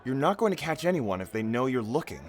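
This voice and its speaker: A man's voice: mid-range voice, very animated, fast, close to an everyday voice. A man playing an easygoing, wry guard in an audio drama, talking casually with dry teasing. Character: easygoing, wry male royal decoy guard.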